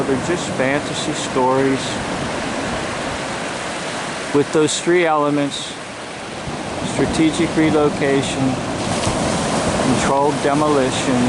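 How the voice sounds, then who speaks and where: Slow-talking vlogger over loud surf noise. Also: mid-range voice. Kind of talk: monologue to camera, slow and deliberate storytelling. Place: outdoors at a seashore. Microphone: handheld camera microphone. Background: ocean waves.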